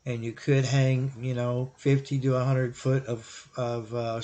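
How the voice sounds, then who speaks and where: Man giving halting, informal gear advice. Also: mid-range voice. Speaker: YouTube radio hobbyist and reviewer. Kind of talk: informal product recommendation, explanatory.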